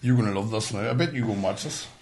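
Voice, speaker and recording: A man's voice: on the low side, rapid-fire, a little mellow, a little hiss or hum. A middle-aged man from Northern Ireland with a Northern Irish accent, telling stories in casual, sweary chat as a podcast host. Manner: blunt, sweary.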